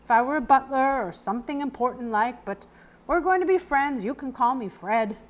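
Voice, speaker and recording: A woman voicing a gruff but kindly old English countryman who works as a stableman in a children's storybook. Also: sits high, normal speed, voice pushed up, close to an everyday voice. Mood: friendly, instructive. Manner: plainspoken, rustic turns of phrase. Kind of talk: children's novel read aloud, character voice.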